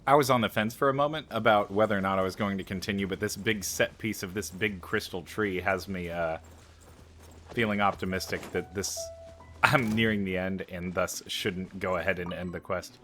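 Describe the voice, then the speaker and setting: A man's voice: low voice, normal speed, bright, forceful tone, faint music behind. A man giving casual, rambling let's play commentary in an informal way.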